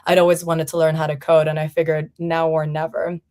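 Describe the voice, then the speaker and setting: A woman from Portugal in her thirties talks through her career as a guest on an interview show. Her voice sits low and stays fairly level, without big swings. She speaks in a reflective, conversational way and often leans on "you know". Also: monotone, a little fast, dark tone. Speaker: founder and CEO, guest.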